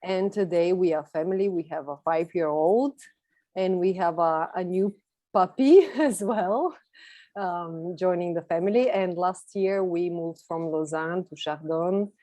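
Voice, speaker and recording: A woman's voice: fairly low pitch, measured pace, warm tone, no echo. A woman, giving a chatty, enthusiastic personal talk as a guest speaker on an online video call. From Bulgaria. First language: Bulgarian. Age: 30s. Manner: chatty, enthusiastic, laughs lightly while talking.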